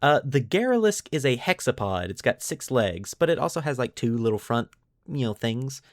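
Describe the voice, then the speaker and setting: A man's voice: mid-range voice, very animated, moderate pace, dry recording, clean studio sound. A man hosting a podcast, explaining lore to friends in an informal, enthusiastic way. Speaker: podcast host.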